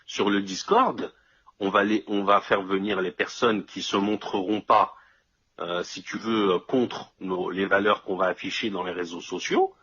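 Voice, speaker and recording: A man's voice: deep voice, fairly even intonation, a little slow, bright, forceful tone, husky, lo-fi sound. A man in casual conversation, explaining things step by step and often checking that his listener follows.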